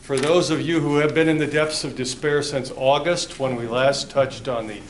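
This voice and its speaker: A man's voice: mid-range voice, fairly even intonation, moderate pace. Man, forum host, casual intro, echoey room, faint noise. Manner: loose, joking, many uh fillers. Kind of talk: introducing speakers. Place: library meeting room.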